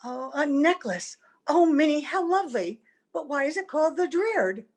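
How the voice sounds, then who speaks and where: A woman's voice: sits high, animated, moderate pace. A woman playing a romantic, naive young bride, happy yet anxious, in an old-time radio drama. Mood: delighted, moved.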